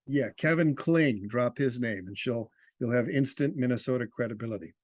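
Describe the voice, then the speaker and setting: A man leads a conversational interview with a jokey, encouraging touch. His voice sits at a middle pitch and is recorded dry, with little room around it. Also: moderate pace, a little mellow, no echo.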